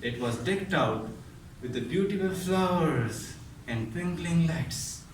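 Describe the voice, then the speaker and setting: Guy doing a dramatic tall tale speech, highish voice swooping around, super echoey and far from mic. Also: expressive. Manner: dramatic, drawn-out delivery with pauses. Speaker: contest speaker. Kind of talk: theatrical storytelling speech to an audience. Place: echoey room at a speech contest. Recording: roomy echo, distant mic, faint noise.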